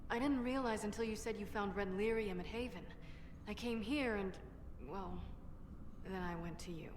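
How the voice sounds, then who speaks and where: A woman's voice: mid-range voice, normal speed, close to an everyday voice. An actress playing a brash, blunt and defensive woman inventor in a video game. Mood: uneasy, explaining. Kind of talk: video game dialogue acting. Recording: echoey hall reverb, faint background noise.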